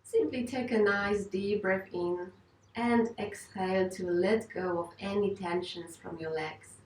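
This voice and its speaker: Female yoga teacher, gentle and reassuring guided relaxation, roomy sound, distant mic. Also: mid-range voice, unhurried, slight background noise. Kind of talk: guided meditation instruction.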